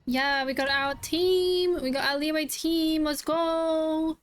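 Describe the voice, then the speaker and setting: High-voiced, excitable woman streamer. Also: slow pace. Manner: excitable, with repeated exclamations and gasps. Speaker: video game streamer. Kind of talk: casual gameplay reaction commentary. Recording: game music faintly behind voice. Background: video game music.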